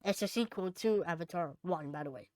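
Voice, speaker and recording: A woman co-hosting a casual podcast, chatting and reading out news items, somewhat halting when she reads aloud. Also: lowish voice, mellow, no echo. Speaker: podcast co-host.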